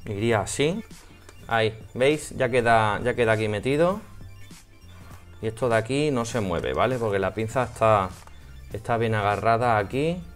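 A man's voice: fairly low pitch, animated, normal speed, faint music behind. A man in his thirties with an accent from Spain, talking casually and a bit hesitantly through a hands-on product review. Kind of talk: casual unboxing and installation walkthrough. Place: small home office room.

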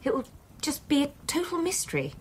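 A woman's voice: high-pitched, very animated, brisk. An English woman acting in a radio drama as an anxious, wry dinner guest. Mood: uneasy.

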